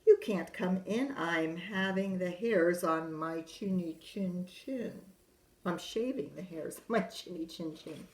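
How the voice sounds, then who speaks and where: A woman's voice: lowish voice, slow pace, darkish tone. A woman voicing a smug male pig who snaps back dismissively, recorded in a roomy space. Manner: snippy, dismissive. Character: smug male pig hiding in his stick house. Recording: roomy echo.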